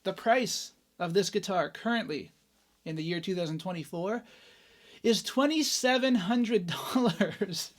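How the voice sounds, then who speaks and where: A man's voice: sits high, a little slow. A man hosting a casual guitar review, chatty and enthusiastic, with loose fillers and exclamations. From Canada. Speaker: host, guitarist reviewing gear. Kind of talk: casual gear review talk. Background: acoustic guitar playing.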